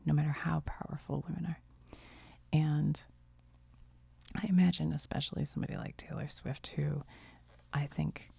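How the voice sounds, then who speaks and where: A middle-aged woman who chats gently in a soft ASMR whisper, often trailing off. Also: low-pitched, vocal fry, breathy edge, dry recording. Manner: gentle, chatty, laughs softly, trails off. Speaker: video creator.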